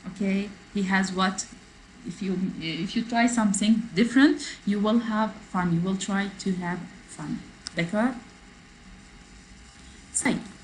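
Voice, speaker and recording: A woman teaching French in an online class, reading a text aloud and explaining it slowly, switching between French and English. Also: medium pitch, slight background noise, rough recording. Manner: switches between French and English, slow, repeating phrases for learners. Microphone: computer mic in a video class.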